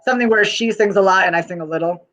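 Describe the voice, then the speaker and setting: Breezy, playful guest; middle pitch; dull line. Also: very fast, a bit bright. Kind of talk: casual interview. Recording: muffled call audio.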